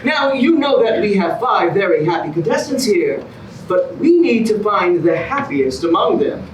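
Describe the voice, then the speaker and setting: Man playing a very high-voiced, gushing game show host. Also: big pitch swings, moderate pace. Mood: fawning. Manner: showy, fawning. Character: gushing, fawning male reality contest show host. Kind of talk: musical comedy staged reading. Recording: echoey, noisy, distant stage recording.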